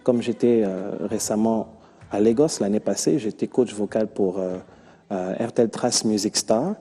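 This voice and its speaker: A man with a Congolese accent answering interview questions about himself in an earnest, measured way, with hesitations. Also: on the low side, dark tone, breathy edge. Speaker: musician being interviewed. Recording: long reverberant hall-like echo.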